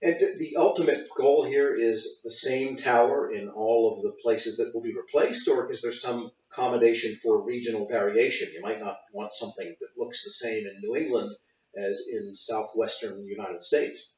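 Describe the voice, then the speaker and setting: A man's voice: mid-range voice, moderate pace, darkish tone. A man interviewing in a conversational way, curious and plain-worded. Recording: echoey, distant, dull sound.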